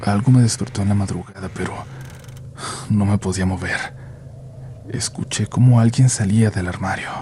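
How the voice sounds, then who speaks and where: A man narrating a first-person horror story in a hushed, measured way, sometimes dropping to a near-whisper. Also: fairly low pitch, fairly even intonation, slight background noise.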